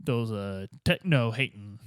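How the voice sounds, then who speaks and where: A man's voice: lowish voice, unhurried, clean tone, no room sound, clean studio sound. A man playing a role-playing game, bantering casually and colloquially as he speaks for his character. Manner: colloquial, animated.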